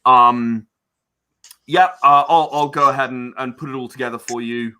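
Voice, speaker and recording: A man with a bright, mid-pitched voice talks as the guest in a casual interview. He is earnest about his creative work and restarts phrases haltingly as he thinks them through. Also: steady tone, clean tone. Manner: hesitant, halting, repeats words. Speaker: interview guest, game and audio drama creator.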